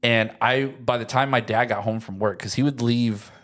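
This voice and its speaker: A man's voice: medium pitch, steady tone, moderate pace, a bit bright. A young man, chatting casually as a podcast co-host in a rambling, anecdotal, slangy way. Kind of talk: casual chat.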